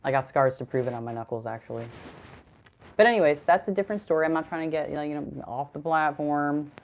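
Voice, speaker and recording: Deep-voiced woman, chatty livestream host. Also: fast, slight background noise. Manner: chatty, rambling, reads chat comments aloud. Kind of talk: casual livestream chat.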